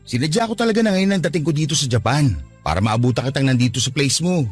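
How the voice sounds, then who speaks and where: A man's voice: fairly high pitch, lively intonation, quick pace, husky, soft background music. An earnest, lovesick young man. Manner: earnest, sweet-talking. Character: devoted young man who quit his job for love. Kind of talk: Tagalog radio drama acting.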